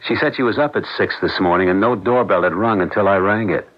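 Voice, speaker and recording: An actor playing a suspicious husband who questions his wife in a measured, accusatory manner, in the style of 1970s radio drama. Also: fairly low pitch, lightly acted. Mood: accusing, controlled. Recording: dull lo-fi 1970s radio broadcast.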